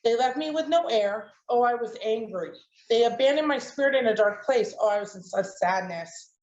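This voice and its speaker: A woman's voice: a little high, normal speed, a little mellow. A woman reciting her own poem in an emphatic, declamatory spoken word manner. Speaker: poet, showcase participant. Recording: roomy, dull lo-fi online audio.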